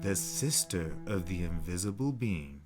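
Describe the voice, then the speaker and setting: A man reading a folktale aloud in a measured, dramatic way with deliberate pauses, over music. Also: deep voice, animated. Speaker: storyteller. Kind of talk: dramatic read-aloud storytelling.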